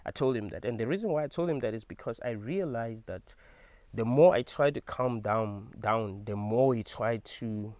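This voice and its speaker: A young man, telling a personal story to camera in an animated, emphatic way and swearing freely. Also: mid-range voice, normal speed, no room sound. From South Africa. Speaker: vlogger.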